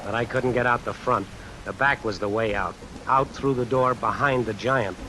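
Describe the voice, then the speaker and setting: A man's voice: mid-range voice, background noise, lightly acted. A man playing a cornered tough guy who narrates his predicament in a colloquial, brooding way in an old radio suspense play. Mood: tense. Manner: colloquial, deliberate, brooding inner monologue. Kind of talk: 1940s-50s radio suspense acting, first-person narration. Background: music and rain sounds.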